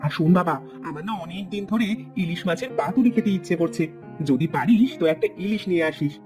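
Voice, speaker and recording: Actor as elderly cartoon mother, rapid chatter, insistent, coaxing and complaining, music underneath. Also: mid-range voice, lively intonation, lightly acted. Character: nagging, wistful old village mother of grown son. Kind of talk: cartoon voice acting. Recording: music bed behind.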